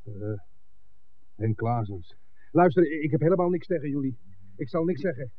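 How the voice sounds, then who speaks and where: A man's voice: on the high side, expressive, lightly acted. An actor playing a cowardly smuggler henchman in a radio drama, nervously pleading to save himself. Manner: hurried, pleading, self-protective. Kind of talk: 1960s Dutch radio play acting. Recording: muffled, hissy 1960s radio recording.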